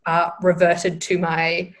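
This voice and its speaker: A young Australian woman with an Australian accent, co-hosting a casual podcast chat, chatty and quick to laugh. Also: fairly low pitch, monotone. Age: young adult. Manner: chatty, laughs often, self-deprecating. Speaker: podcast co-host.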